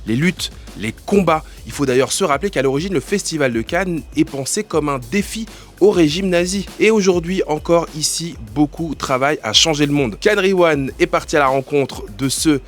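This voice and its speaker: A man's voice: a little high, moderate pace, soft background music. A man hosting street interviews at a film festival, asking upbeat, chatty questions. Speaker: host. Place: outdoors in a city.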